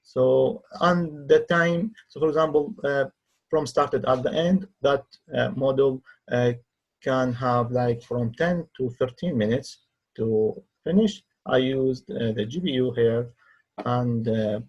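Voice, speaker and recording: A man who speaks English as a second language narrates a software tutorial as a researcher, in a halting way with hesitant pauses. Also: medium pitch, muffled recording. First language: not English. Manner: halting, non-native phrasing with hesitant pauses. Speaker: researcher demonstrating own software. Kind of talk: tutorial screen-recording narration.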